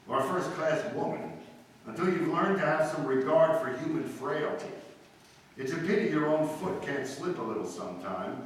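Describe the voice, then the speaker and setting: A man's voice: on the high side, close to an everyday voice. A man acting in a 1940s-style radio drama as a suave, sardonic, needling ex-husband. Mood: reproachful. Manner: wry, sardonic. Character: suave needling ex-husband, wry, urbane and lecturing. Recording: echoey hall from far off, faint noise.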